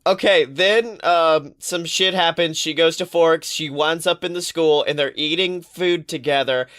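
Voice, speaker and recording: Male podcast co-host, slightly high voice, excitable and playful, casual comic storytelling. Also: unhurried. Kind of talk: casual comedic chat.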